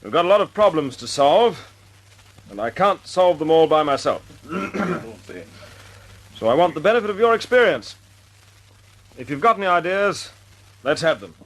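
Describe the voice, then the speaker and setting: A man's voice: fairly high pitch, animated, moderate pace, pauses often, a bit bright, low-quality recording. An actor playing a confident young male squadron leader in a 1940s radio drama. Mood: brisk.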